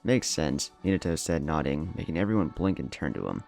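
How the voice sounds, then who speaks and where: A man's voice: rumbling low, very animated, fast talker, creaky, darkish tone, faint music behind. A man narrating a scripted story in a dramatic storytelling manner.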